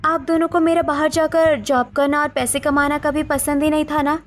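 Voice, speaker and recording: High-pitched, defiant young daughter-in-law played by a woman. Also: level tone, moderate pace, soft background music, natural voice. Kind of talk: Hindi cartoon family melodrama acting.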